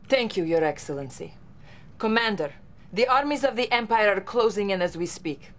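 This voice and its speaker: An actress playing a young Soviet information officer giving formal, urgent mission briefings. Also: female voice, medium pitch, animated, normal speed, lightly acted.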